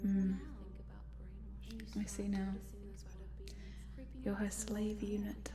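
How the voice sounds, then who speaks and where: A woman playing a cute but sinister scientist in an ASMR roleplay, coaxing in a soft, breathy whisper. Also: mid-range voice, completely flat delivery, extremely slow. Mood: soothing, teasing. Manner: hushed, coaxing, murmuring, giggly asides. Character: cute sinister lady scientist, chatty, coaxing, quietly gleeful.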